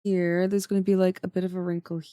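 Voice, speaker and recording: A woman's voice: on the low side, flat delivery, quick pace, dark tone, no room sound, clean studio sound. A woman streamer chatting casually with viewers while she draws, often trailing off mid-sentence as she works. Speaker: streamer drawing emotes. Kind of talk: casual chat with chat while drawing.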